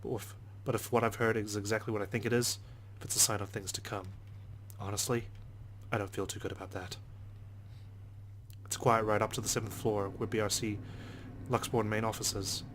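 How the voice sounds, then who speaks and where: A man's voice: on the low side, level tone, brisk, a bit bright, a little hiss or hum. A man reading a visual novel aloud in the first person with a dry, sardonic delivery. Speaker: let's play narrator.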